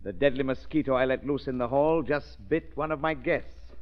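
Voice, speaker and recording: An actor playing a scheming, vengeful villain in a 1930s radio drama, speaking in a smooth, deliberate, ominous manner. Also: male voice, mid-range voice, lightly acted. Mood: gloating. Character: scheming, coolly vengeful villainous host plotting his guests' doom. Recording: scratchy, muffled 1930s radio recording.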